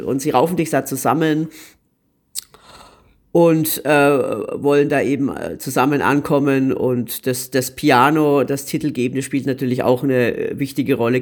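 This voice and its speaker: A man co-hosting a podcast, giving a casual review and often hesitating and repeating words as he searches for phrasing. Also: on the high side. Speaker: podcast co-host recommending series.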